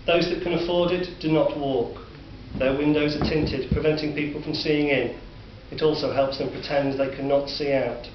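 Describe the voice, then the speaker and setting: A man with a Northern English accent narrating a dark story in a measured, sombre way. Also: on the high side, steady tone. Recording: distant, roomy, dull recording with faint hiss.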